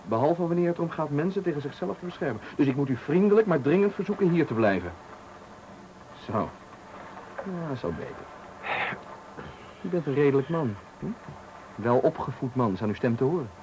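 An actor in a Dutch radio play playing a taunting, menacing stranger who speaks with deliberate, needling politeness in a Netherlands accent. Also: male voice, fairly high pitch, animated, darkish tone, lightly acted. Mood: mock-polite menace. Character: menacing, taunting male stranger cornering a man at night. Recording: faintly noisy 1980 radio recording.

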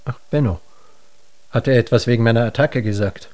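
A man's voice: mid-range voice, brisk, darkish tone, soft background music, lightly acted. An actor in a German radio drama playing a weary, stubborn man full of self-doubt, groping for words. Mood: probing.

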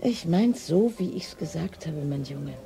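An actress playing a wry, teasing middle-aged police chief inspector in a radio drama, speaking in a dry, colloquial, mocking way. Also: female voice, deep voice, expressive, unhurried, gravelly, dark tone, soft background music, exaggerated voice. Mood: distracted, dry. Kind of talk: naturalistic radio drama dialogue.

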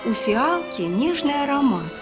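A woman's voice: high voice, sing-song, unhurried. A woman leading a music lesson for young children, asking them questions and reciting verse expressively.

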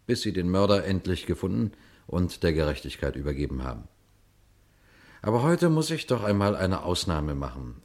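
An actor playing a police detective who tells his case to listeners in a deliberate 1950s radio crime play style. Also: deep voice, a little mellow. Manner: deliberate, rhetorical questions to the listener.

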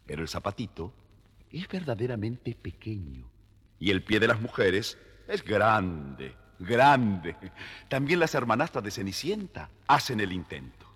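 A man's voice: fairly low pitch, big pitch swings, measured pace, a bit bright, husky. A man narrating a scripted children's fairy tale as storyteller.

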